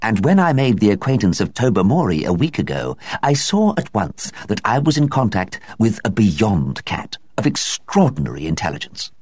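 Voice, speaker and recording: A man voicing a patient, self-satisfied gentleman scientist who talks in a lecturing way. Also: fairly low pitch, very animated, normal speed, few pauses, no room sound, pitched above the performer's own voice. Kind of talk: storybook reading.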